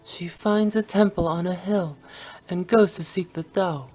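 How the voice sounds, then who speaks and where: Playful low-voiced young game heroine. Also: female voice, unhurried, warm tone, slightly rough, faint music behind, poor audio. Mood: playful, teasing. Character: playful young woman director, teasing and unafraid of monsters. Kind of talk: scripted video game voice acting.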